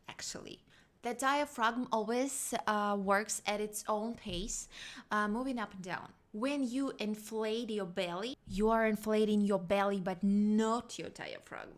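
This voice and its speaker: A woman's voice: medium pitch, fairly bright tone. A young woman vocal coach with a non-native English accent, giving a casual video lesson in a chatty, emphatic way and repeating her key words. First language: not English.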